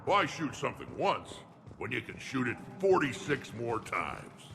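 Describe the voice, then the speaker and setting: A man's voice: mid-range voice, big pitch swings, a little slow, vocal fry. An actor playing a brash, sarcastic male soldier in a video game. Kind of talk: video game cutscene acting. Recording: music behind.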